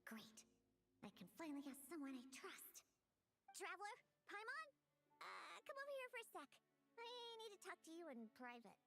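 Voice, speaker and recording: A woman's voice: very high voice, sing-song, normal speed, fairly bright tone, exaggerated voice. A woman voicing a feisty, distrustful little cat girl in a video game, with a childlike, pouty and emphatic delivery. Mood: suspicious. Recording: game music behind. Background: game music.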